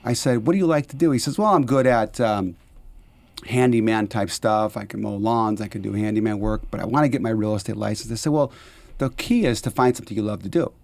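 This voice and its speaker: Podcast host, male, 50. Lowish voice, dry studio-close sound, informal and encouraging, casual anecdotal delivery. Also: brisk, vocal fry, a little mellow, dry recording.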